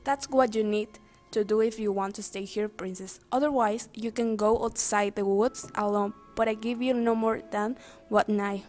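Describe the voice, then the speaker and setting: A woman's voice: mid-range voice, fairly even intonation, moderate pace, darkish tone, faint music behind, close to an everyday voice. A woman voicing a scornful, commanding peasant in a fairytale story. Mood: stern.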